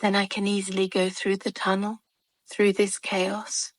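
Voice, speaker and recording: A woman leading a guided meditation with soothing, calm narration in short, measured phrases and gentle pauses. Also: mid-range voice, no room sound.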